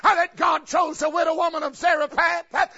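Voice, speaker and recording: Shouting preacher with a rhythmic uh. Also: male voice, very high voice, flat delivery, fairly quick, vocal fry. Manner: shouted cadence, an uh after each phrase. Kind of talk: chanted revival sermon. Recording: lo-fi recording with faint congregation. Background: congregation responding.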